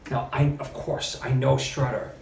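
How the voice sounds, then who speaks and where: A middle-aged man, a podcast guest, telling stories in a casual, animated way and acting out what other people said. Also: mid-range voice, a little slow, vocal fry, a little hiss or hum. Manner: animated storyteller, quotes others with lively shifts. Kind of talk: casual storytelling interview. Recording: roomy, mic at a distance.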